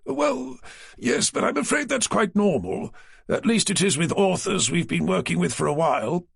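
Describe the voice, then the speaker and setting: A man's voice: high voice, expressive, moderate pace, vocal fry, slightly rough, no echo, studio quality, pitched above the performer's own voice, exaggerated voice. A man voicing a fussy, flustered middle-aged male publisher who talks primly and defensively. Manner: prim and defensive.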